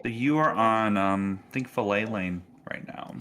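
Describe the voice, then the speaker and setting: A man's voice: on the low side, unhurried, sharp tone, slight background noise. A man working as game master, narrating casually in an easygoing, encouraging way. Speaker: game master of a tabletop roleplaying game.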